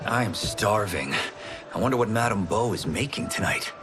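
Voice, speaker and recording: An actor playing a calm, sensible young male farmer with a steady, gently wry manner, in a video game cutscene. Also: fairly low pitch, moderate pace, natural voice. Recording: game soundtrack music behind.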